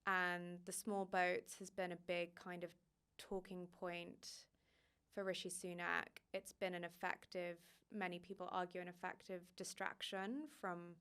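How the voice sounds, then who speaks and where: A woman's voice: fairly low pitch, level tone, unhurried, a bit bright, clear, resonant tone. A woman, a migration journalist, answering interview questions thoughtfully, hedging with fillers and restarts.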